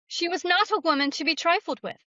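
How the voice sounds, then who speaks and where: A woman's voice: very high voice, a little fast, pushed and bright. A woman talking as an author guest in a conversational, plainspoken, folksy way, on slightly lo-fi audio. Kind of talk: conversational interview.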